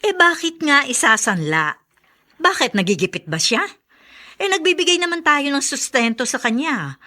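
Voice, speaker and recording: A woman's voice: high-pitched, sing-song, normal speed, pushed and bright, exaggerated voice. A woman playing an indignant, suspicious older sister in a Filipino radio drama.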